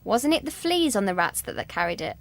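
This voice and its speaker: A woman's voice: medium pitch, sing-song, fairly quick. A woman playing an eager, earnest theatre performer in a radio comedy. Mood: puzzled. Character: eager, credulous female amateurish theatre performer.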